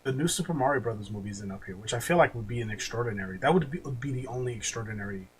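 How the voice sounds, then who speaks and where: A man's voice: medium pitch, expressive, very fast, poor audio. A man with a mid-range voice hosts a casual podcast. He speaks informally and gives his opinions with some swearing. Noise is noticeable behind his voice. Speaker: podcast host. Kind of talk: casual opinionated film-ranking chat. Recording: clearly audible background noise.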